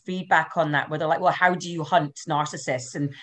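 A middle-aged woman from the Scottish Highlands with a Scottish accent, talking as a guest in a casual interview, chatty and self-deprecating with many fillers. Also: fairly even intonation, brisk. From Scottish Highlands and Islands. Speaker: guest, abuse awareness advocate.

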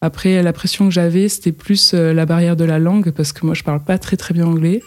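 A woman's voice: fairly low pitch, fairly even intonation, brisk. A woman, a fashion model, talking casually in an interview, hesitant and full of fillers and restarts.

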